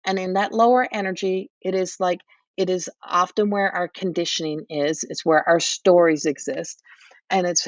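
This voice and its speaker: A woman's voice: mid-range voice, dry recording. Earnest woman giving spiritual guidance, off-mic. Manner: earnest, repetitive, coaching tone. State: voice going hoarse. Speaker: intuitive reader and host. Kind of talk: live spiritual guidance reading. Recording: distant, dull lo-fi mic.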